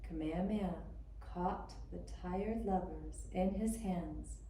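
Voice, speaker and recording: A woman narrating a scripted children's puppet-show tale in a measured, deliberate way with pauses. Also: fairly low pitch, dark tone, a little hiss or hum. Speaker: storyteller. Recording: roomy, echoing room sound.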